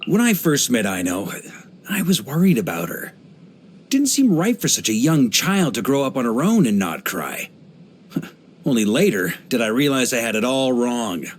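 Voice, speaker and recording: An actor playing a hearty middle-aged knight grandmaster in a video game, an easygoing adventurer who talks in a wry, conversational way. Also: male voice, mid-range voice. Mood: admiring, easygoing. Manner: relaxed, wry, conversational, with chuckles and musing pauses. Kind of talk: video game character voice lines.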